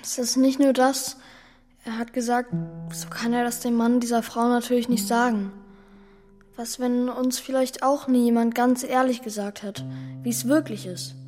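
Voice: medium pitch, little pitch movement, normal speed, a little mellow, faint music behind, natural voice. A young performer playing an earnest, worried boy who narrates his own story in a German children's radio drama. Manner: earnest, plain-spoken, childlike.

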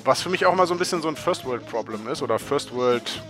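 A man's voice: mid-range voice, expressive, fast. A man chatting casually, in an informal, colloquial and loosely phrased way. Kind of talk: casual spoken commentary. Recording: music playing behind the voice.

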